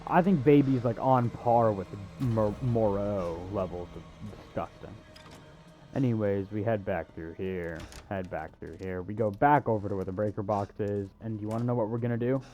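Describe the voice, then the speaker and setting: A man's voice: lowish voice, normal speed, mellow, highs cut off. A young man giving casual, sarcastic video game walkthrough commentary with crude jokes. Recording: game music under the voice. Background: game music and sounds.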